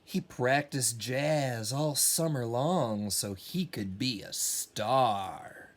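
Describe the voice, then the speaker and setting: A man's voice: medium pitch, extremely slow. A man who teaches music, reading a children's storybook aloud expressively with casual, encouraging asides.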